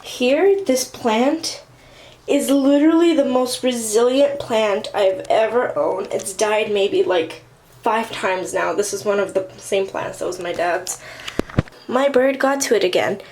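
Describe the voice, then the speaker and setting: Chatty teen girl, slightly high voice. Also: unhurried, a little mellow, low-quality recording. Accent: Armenian Russian. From southern California. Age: teens. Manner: chatty, bubbly, lots of fillers. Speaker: vlogger. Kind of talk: casual plant tour vlog. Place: apartment room and bathroom, indoors.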